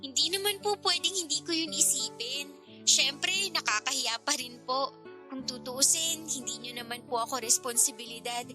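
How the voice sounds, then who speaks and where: An actress playing a humble, grateful young niece with a Filipino accent, polite and deferential, in a radio drama. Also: female voice, high-pitched, moderate pace, natural voice. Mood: embarrassed. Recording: prominent music bed behind the voice.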